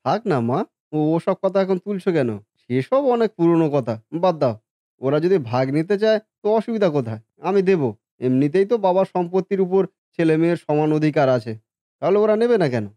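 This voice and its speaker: Guy acting as kind cartoon brother smoothing things over, mellow voice, a bit high for a man. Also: animated, fairly quick, no echo, natural voice. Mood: placating, reassuring. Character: gentle, conciliatory, generous younger brother. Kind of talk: cartoon family drama acting.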